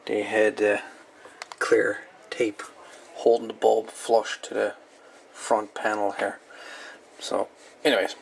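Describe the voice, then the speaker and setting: A man giving a casual hands-on repair walkthrough in a halting, folksy way with colloquial grammar. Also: medium pitch, vocal fry, slight background noise. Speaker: repair video host. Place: indoor electronics workbench.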